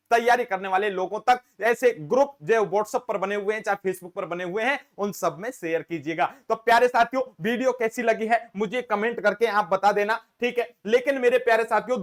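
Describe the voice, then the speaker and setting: A man's voice: high voice, a bit bright. A man who teaches exam-prep classes, talking directly to his viewers in a casual, earnest way and often calling them his dear friends. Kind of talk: casual direct-to-camera explainer.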